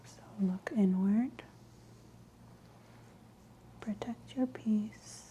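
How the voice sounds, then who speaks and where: A woman doing soft-spoken, mostly whispered ASMR as a practitioner, breathy, gentle and soothing in an unhurried way. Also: mid-range voice, muffled recording, slight background noise. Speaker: ASMR host performing a scalp exam roleplay.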